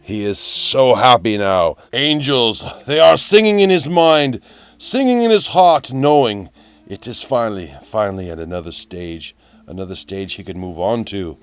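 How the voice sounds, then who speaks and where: A man giving a melodramatic, whimsical storybook-style narration of a DIY build, repeating phrases for effect, in a gravelly voice. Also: on the low side, lively intonation, normal speed, no echo. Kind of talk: theatrical storybook-like narration of a hobby build video. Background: music.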